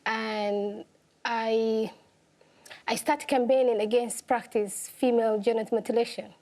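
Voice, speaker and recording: Young woman, earnest, simple phrasing, slightly high voice. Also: steady tone, a little slow, warm tone. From Somalia. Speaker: guest, activist. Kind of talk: interview. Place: television studio.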